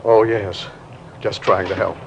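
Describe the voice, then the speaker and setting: A man's voice: lowish voice, steady tone, measured pace, gravelly. A man playing a mild, slightly fussy doctor in a 1950s radio drama, eager to help and repeating himself. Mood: eager, curious. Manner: chatty, repeats himself, obliging. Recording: muffled, faintly noisy old radio recording.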